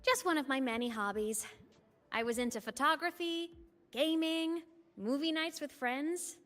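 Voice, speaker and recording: A woman's voice: sits high, unhurried, a bit bright. A woman voicing a cheerful, wistful young student ghost in a video game, with a breezy, chatty manner. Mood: breezy, rueful. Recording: long reverb under game music.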